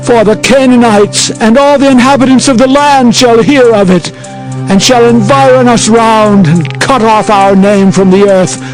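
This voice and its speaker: A man's voice: very high-pitched, steady tone, a little slow, low-quality recording. A man playing a grave, fervent leader of ancient Israel in dramatized scripture, declaiming solemnly. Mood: anguished lament. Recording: music behind the voice.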